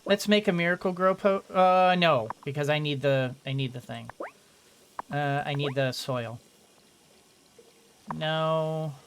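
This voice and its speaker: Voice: mid-range voice, takes it slowly, long pauses, slight background noise. A game streamer giving casual commentary, rambling and thinking aloud while playing.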